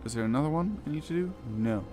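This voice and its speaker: A man's voice: on the high side, animated, fast talker. A man casually commenting on a video game as he plays and reads quest text aloud, with dry, wry asides. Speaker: gaming video host. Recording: game music behind the voice. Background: video game music.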